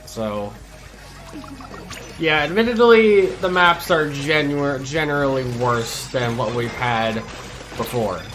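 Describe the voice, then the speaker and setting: A man's voice: medium pitch, animated, slow, fairly bright tone, faint music behind. A man hosting a gaming channel, chatting casually over gameplay while stuffy from a cold, laid-back and hesitant with lots of ums and restarts.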